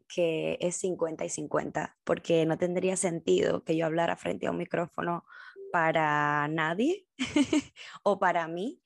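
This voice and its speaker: A young adult woman from Cuba with a Cuban accent, hosting a solo podcast in a reflective, heartfelt way with thoughtful pauses. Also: on the low side, vocal fry, a little mellow, no echo, studio quality. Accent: Cuban Spanish. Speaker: podcast host.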